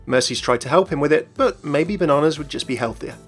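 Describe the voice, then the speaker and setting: Guy doing scripted gaming news, voice a bit high, music in the back. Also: fast. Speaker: gaming channel host.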